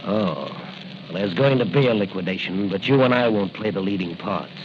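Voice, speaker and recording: A male actor as a cocky masked crime-fighter from a 1940s radio drama. He is American, with a lowish voice and a firm, commanding delivery. The sound is dull and noisy. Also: moderate pace, lightly acted. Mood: firm, confident. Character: confident tough masked crime-fighting hero. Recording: muffled noisy 1940 radio recording.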